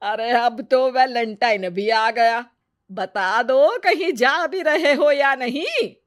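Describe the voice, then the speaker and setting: Woman playing a slow, bossy old grandmother. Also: a little high, lively intonation. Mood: incredulous. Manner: scolding, folksy, playful.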